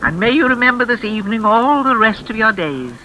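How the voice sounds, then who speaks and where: An actress playing a menacing, unhinged older mistress of a crumbling hall, honeyed and insistent, in classic radio suspense style. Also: female voice, fairly high pitch, lively intonation, bright, forceful tone, a little hiss or hum. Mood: sinister gracious. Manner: theatrical, honeyed and insistent, with deliberate repetition.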